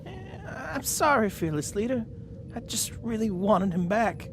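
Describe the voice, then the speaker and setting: A man's voice: high-pitched, very animated, a little slow, noisy background. A man voicing a scrappy, loyal robot rat in a cartoon radio drama, talking in informal street slang. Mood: sorrowful. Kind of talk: animated-series radio drama acting.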